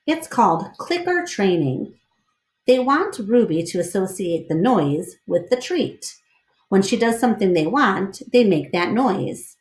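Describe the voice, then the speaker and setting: Storybook sidekick: wry, casual little male dog, loyal, lively intonation, done by a woman reader, roomy recording. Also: medium pitch, unhurried. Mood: disapproving.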